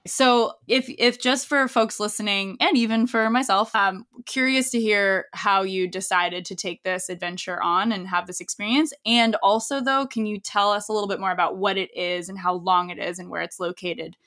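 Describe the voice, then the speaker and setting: A woman's voice: medium pitch, normal speed, few pauses, a bit bright. A woman co-hosting a podcast, asking questions eagerly in a casual chat. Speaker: podcast co-host.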